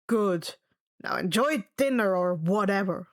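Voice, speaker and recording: A woman's voice: medium pitch, animated, unhurried. A woman voicing a curt, stern, irritable man who runs a camp, in audiobook-style character dialogue. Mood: weary, dismissive. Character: gruff, irritable male camp director, curt and commanding.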